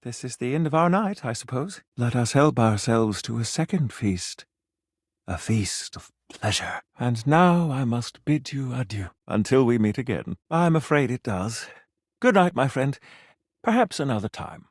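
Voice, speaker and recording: A man's voice: medium pitch, very animated, a little slow, no echo, clean studio sound. A man voicing a pompous, flirtatious gourmet food critic for a video game, with florid, formal, dramatic delivery. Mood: courtly, flirtatious. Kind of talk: theatrical video game voice acting.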